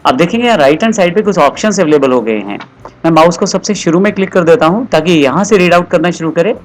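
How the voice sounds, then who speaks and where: A man narrating a step-by-step software tutorial in an explanatory, instructional way, repeating his instructions. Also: on the high side, animated, fast, a little hiss or hum.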